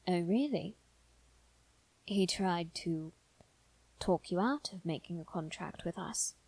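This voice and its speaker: A woman playing a calm, probing male demon with quiet intensity, speaking softly and slowly in a scripted ASMR roleplay. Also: on the low side, breathy edge, no room sound, heavily acted, low-quality recording. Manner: soft, slow, deliberate, dipping toward whisper.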